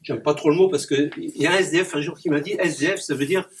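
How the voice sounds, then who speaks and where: Male clown-poet, high voice, playful punning delivery, distant mic with some noise. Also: fairly even intonation, fast talker. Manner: playful wordplay, chuckles at his own puns. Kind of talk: reciting comic rhyming poems to a small gathering.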